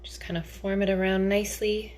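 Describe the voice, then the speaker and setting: A woman's voice: medium pitch, little pitch movement, a little fast, a little mellow. A woman giving a casual cooking demonstration in a chatty, theatrical, playfully enthusiastic way.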